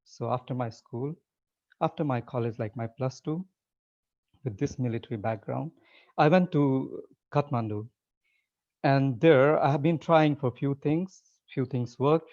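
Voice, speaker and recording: A man, giving a relaxed, conversational talk as a webinar guest speaker over a video call. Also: mid-range voice. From Nepal. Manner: relaxed and conversational, with frequent restarts. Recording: slightly muffled webinar audio.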